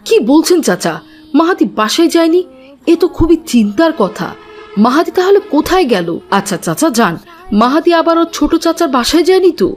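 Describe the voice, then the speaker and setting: A polite young cartoon boy, voiced by a woman, high and animated in pitch. He speaks earnestly in cartoon dialogue. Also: moderate pace, faint music behind. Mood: polite, concerned.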